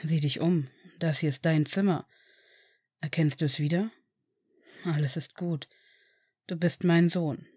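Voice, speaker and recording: A woman voicing a gentle, protective grown man, speaking softly and slowly in a hushed, soothing way. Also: sits low, steady tone, slightly rough, no room sound, clean studio sound, voice pushed down. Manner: soft, soothing, slow and hushed. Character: gentle protective adult male father figure. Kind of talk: audiobook character voice.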